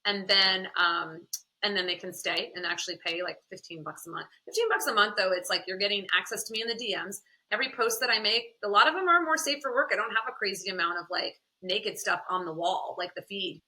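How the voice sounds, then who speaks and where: A woman guest on a podcast, chatting candidly with a smoky voice, animated and quick to laugh. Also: mid-range voice, poor audio. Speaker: podcast guest, content creator and confidence coach. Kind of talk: candid conversational interview.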